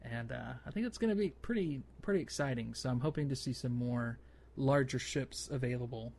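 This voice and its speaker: A man hosting a gaming podcast, chatting casually in a halting, rambling way. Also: mid-range voice, vocal fry, a little mellow. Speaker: podcast host.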